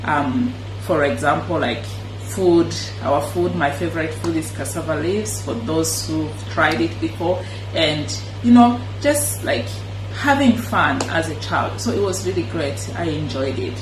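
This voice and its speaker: A woman's voice: low-pitched, expressive. Earnest woman from Burundi, echoey room. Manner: earnest, unhurried, with frequent pauses. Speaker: interviewee sharing her refugee story. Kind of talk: personal testimony. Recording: echoey room with music behind.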